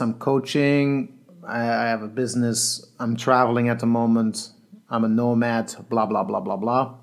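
Earnest man telling a coaching story. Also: on the high side. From Belgium. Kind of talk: storytelling monologue.

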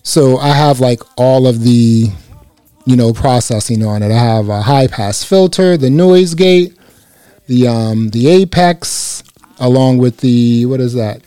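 A man with a slightly raspy voice reviewing a microphone in a casual, chatty way, speaking close into a dynamic mic. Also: medium pitch, unhurried, dry recording. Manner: relaxed, chatty, many "you know" fillers. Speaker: gear reviewer. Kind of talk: casual product review to camera. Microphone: close dynamic microphone through a processor.

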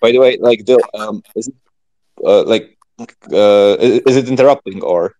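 A man talking as a podcast guest in casual, informal chat, rambling and halting with many filler words. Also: fairly low pitch, mellow, highs cut off. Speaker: podcast guest, project founder.

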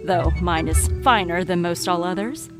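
An actress playing a wry, scheming female narrator character in an audio drama, teasing and theatrical with hushed asides. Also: fairly low pitch, normal speed, close to an everyday voice. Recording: studio audio drama with music underneath.

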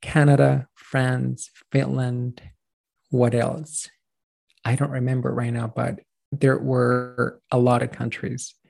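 A man's voice: mid-range voice, completely flat delivery, slow pace, creaky, darkish tone. A Chilean man in his thirties, a native Spanish speaker, telling his story as a pronunciation coach in a casual interview, earnest and rambling with restarts.

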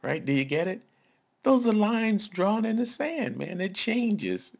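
Slow, gravelly-voiced male preacher. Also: high-pitched, sing-song. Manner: emphatic, folksy, asks the audience rhetorical questions. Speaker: pastor. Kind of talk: conversational sermon.

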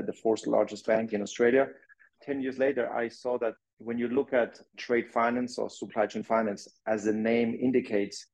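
A man's voice: medium pitch, darkish tone, poor audio. A man from Switzerland with a French-Swiss accent, a company founder explaining his business step by step as a podcast guest. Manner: explanatory, walks through points step by step, non-native phrasing.

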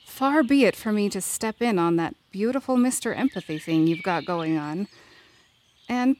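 A woman's voice: medium pitch, expressive, normal speed, a little mellow, slight background noise, close to an everyday voice. A woman playing a candid, wry leader and loyal confidante in a fiction podcast drama, with a blunt, teasing manner. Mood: insistent.

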